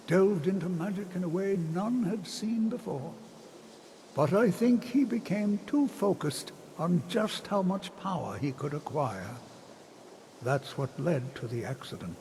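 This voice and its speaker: A man playing a kindly, measured old wizard scholar, gentle and slightly hesitant, in a fantasy video game. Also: high voice, expressive. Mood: reflective. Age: older. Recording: long hall reverb, noisy ambience.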